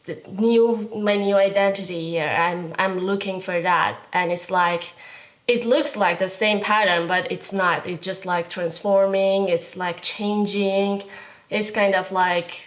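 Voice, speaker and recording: Slow, hesitant young woman. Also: mid-range voice, fairly even intonation. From Kurdistan region of Iran. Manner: hesitant, searching for words, many fillers. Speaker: visual artist, interviewee. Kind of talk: casual interview.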